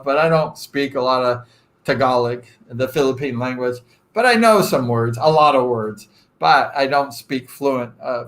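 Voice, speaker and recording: A man around sixty from Southern California, hosting a casual live chat with viewers in a rambling, joking way with lots of fillers. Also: mid-range voice. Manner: rambling and chatty, self-deprecating joking, frequent fillers. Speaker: live-stream host.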